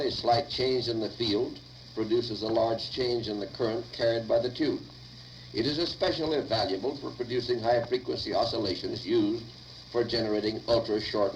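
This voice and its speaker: A man dictating lecture notes aloud in a deliberate, formal way, recorded on 1950 reel-to-reel tape. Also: mid-range voice, a little hiss or hum, poor audio.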